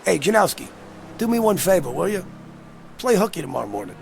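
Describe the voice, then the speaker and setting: A man playing an agitated, insistent man haunted by a dream, in a dramatic production with a gravelly, urgent delivery. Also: sits high, big pitch swings, a little fast, a little mellow, slight background noise, full character voice. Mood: urgent pleading. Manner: urgent, pleading.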